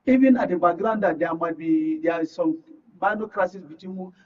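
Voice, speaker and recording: A high-voiced man with a northern Nigerian accent gives his views as a political guest on a talk show. He leans on key phrases by repeating them. The sound is dull and picked up from some distance. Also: brisk. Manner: emphatic, repeats phrases for stress. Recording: muffled, distant microphone.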